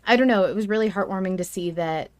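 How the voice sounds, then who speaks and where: A woman's voice: mid-range voice, fast talker, no echo. A woman hosting a podcast in casual, informal and enthusiastic chat with her guests. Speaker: podcast host.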